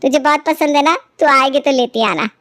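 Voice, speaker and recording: A woman's voice: high voice, fairly quick, fairly bright tone. A woman voicing a stingy, scheming older mother-in-law in a cartoon family story, scolding and gossiping indignantly. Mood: coaxing.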